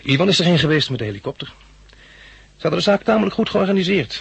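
Easygoing, practical male survivor, very husky with some fry, vintage radio play. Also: sits high, animated, quick pace, no room sound, heavily acted. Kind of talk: 1960s Dutch radio drama acting.

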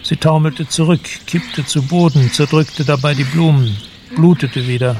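Gravelly male radio drama narrator. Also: on the high side, steady tone, normal speed, noisy background.

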